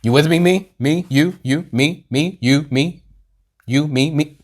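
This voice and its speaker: Slow, halting man reacting casually. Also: a little high, darkish tone. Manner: halting, repeats words, talks to himself. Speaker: host reacting to a video. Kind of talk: casual rambling reaction commentary.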